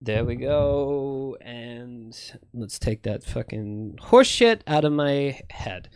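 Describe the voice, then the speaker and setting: A man's voice: medium pitch, expressive, slow, clear, resonant tone, dry recording, studio quality. A man with a slight lisp, chatting casually to his stream viewers in a rambling, profane, self-correcting way. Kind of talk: casual livestream chat.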